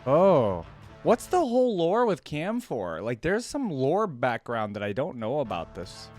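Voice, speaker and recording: A man's voice: fairly high pitch, huge pitch swings, a little slow, a little mellow. A man giving casual, off-the-cuff reaction commentary as the host of a video. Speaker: YouTube reactor hosting a video. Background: game voice lines and music.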